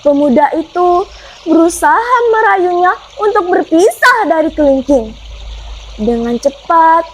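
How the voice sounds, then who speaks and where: A woman storyteller narrating a folktale in an expressive, dramatic, theatrical way. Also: very high-pitched, measured pace, slight background noise.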